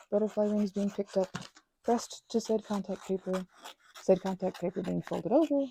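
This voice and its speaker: A woman making an ASMR craft video, rambling softly with whispered asides, little exclamations and meandering self-interrupting tangents. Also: mid-range voice, vocal fry, slight background noise, poor audio. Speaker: ASMR creator.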